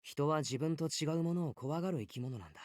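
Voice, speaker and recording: A man's voice: a little high, expressive, very fast, a crowd in the background, lightly acted. An actor playing a blunt but kindly, protective man in a visual novel, speaking casually. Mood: gently scolding. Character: caring, gently scolding male newspaper reporter, protective housemate. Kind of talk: Japanese visual novel voice acting. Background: game music.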